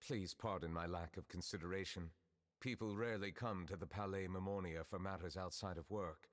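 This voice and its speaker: An actor playing a calm, formal male chief justice who speaks politely and with measured care. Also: low-pitched, natural voice.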